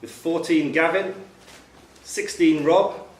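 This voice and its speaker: A man's voice: a little high, slow pace. A man acting as quiz master, reading out questions and scores to a room. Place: club room at a pub-style quiz event. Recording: echoey room, distant mic, faint noise.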